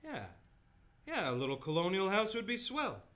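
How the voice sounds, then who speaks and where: Casting: man as affable, slightly shy lawyer for radio drama, fairly high voice, lively delivery. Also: moderate pace. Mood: easygoing. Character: amiable, earnest bachelor lawyer. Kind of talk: stage-style radio play acting.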